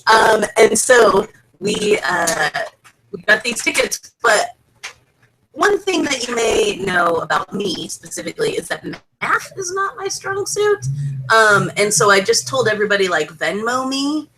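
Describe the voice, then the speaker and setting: A woman co-hosting a podcast, telling casual stories in an animated, self-deprecating way, with faint noise on an online call. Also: mid-range voice, a little slow, rough recording. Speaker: podcast co-host. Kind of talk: casual chat, storytelling.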